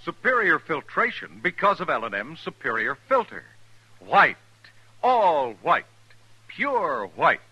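An American man delivering an emphatic sales pitch as a 1950s radio commercial announcer. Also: wildly swooping pitch, slow pace, bright, forceful tone, husky, poor audio. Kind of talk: 1950s radio cigarette advertising.

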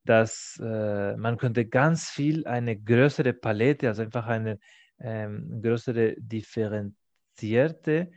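A man's voice: lowish voice, darkish tone, no room sound. A man whose first language is Spanish, hosting a podcast in German, speaking thoughtfully and hunting for his words. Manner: thoughtful, halting, searching for words. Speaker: podcast host. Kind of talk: casual interview chat. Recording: dull, dry, muffled.

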